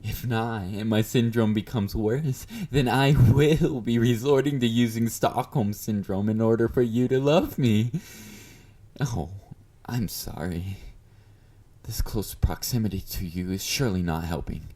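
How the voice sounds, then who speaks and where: A man's voice: lowish voice, a little slow, dark tone. A man playing a confident, obsessive, flirty yandere fan in an intimate audio roleplay, teasing and smug as he speaks to his beloved. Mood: smug, possessive, then menacing. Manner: teasing, smug, mock-considerate, murmured endearments.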